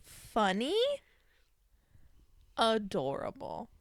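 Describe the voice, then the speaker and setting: Woman podcast cohost, medium pitch, short agreeable replies, casual chat, dry close sound. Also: big pitch swings, drawn-out words, no echo. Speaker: podcast co-host.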